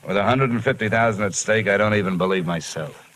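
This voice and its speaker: An actor playing a wry, hard-boiled insurance investigator in a mid-century radio detective drama. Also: male voice, lowish voice, quick pace, loud music behind the voice, natural voice. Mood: sardonic. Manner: sardonic, tough, wisecracking. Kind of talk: 1950s radio detective acting, first-person narration and dialogue. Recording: noisy vintage radio recording.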